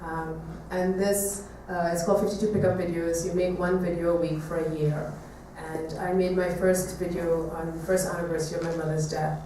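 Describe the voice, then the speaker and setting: A woman from Trinidad giving an artist talk to an audience, speaking reflectively with hesitant pauses. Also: lowish voice, little pitch movement, warm tone. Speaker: visual artist giving a presentation. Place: echoey room, talk to an audience. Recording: echoey room, distant mic, faint noise.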